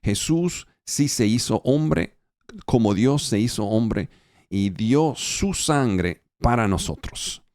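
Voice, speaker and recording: Low-voiced non-native man preaching. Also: expressive, darkish tone, no echo, clean studio sound. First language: not Spanish. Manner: halting, with fillers and restarts as a non-native speaker. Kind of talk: sermon.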